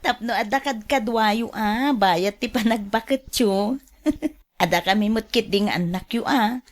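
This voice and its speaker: An actress with an Ilocano accent playing a teasing, scheming, flirty younger woman in a radio drama, with playful, drawn-out delivery. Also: fairly high pitch, lightly acted. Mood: playful, flattering.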